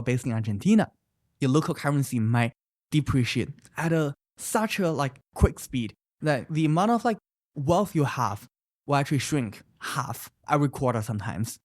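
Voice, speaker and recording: Founder, thirties, really lively up-and-down voice, lots of "right?" and examples. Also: medium pitch, no echo, studio quality. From China. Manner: animated, emphatic, often adds "right?"; explains with many examples. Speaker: startup founder. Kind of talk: interview answers.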